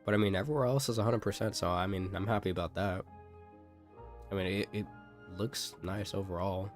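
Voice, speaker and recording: A man's voice: low voice, steady tone, fairly quick. A man streaming a game, chatting casually and thinking aloud in a rambling way. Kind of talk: casual live gameplay commentary. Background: game music.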